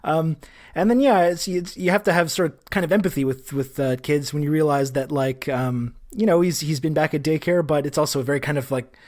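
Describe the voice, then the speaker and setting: A man's voice: on the high side, fairly even intonation, brisk, a little mellow. A man hosting a podcast, chatting casually and hedging with lots of "kind of". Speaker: podcast host. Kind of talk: casual interview chat.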